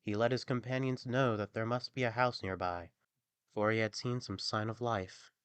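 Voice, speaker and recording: Expressive male storyteller, close and dry. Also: lowish voice, normal speed, clear, resonant tone, dry recording. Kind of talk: expressive fairy-tale narration.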